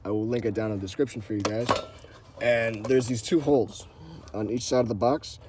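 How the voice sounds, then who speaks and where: A man's voice: medium pitch, steady tone, fairly quick, noisy background. A man hosting a how-to video, talking casually and informally as he explains each step with repeated instructional phrases. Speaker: host of a how-to car channel. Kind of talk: casual hands-on tutorial talk.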